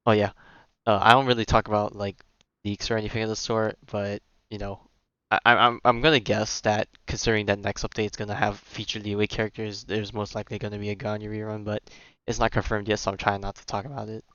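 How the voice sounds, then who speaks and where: A man's voice: fairly low pitch, flat delivery, fast talker, a bit bright, clear, resonant tone, no room sound, highs cut off. A man giving casual live gameplay commentary as a streamer, slangy and rambling as he thinks aloud. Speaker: game streamer.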